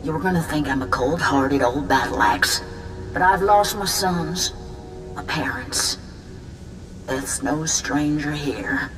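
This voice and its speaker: A woman's voice: deep voice, expressive, slow pace, distant mic, music in the background. A woman playing a tough, proud, middle-aged resistance fighter in a video game, blunt and hard-bitten. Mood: resolute, stoic. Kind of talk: video game cutscene dialogue.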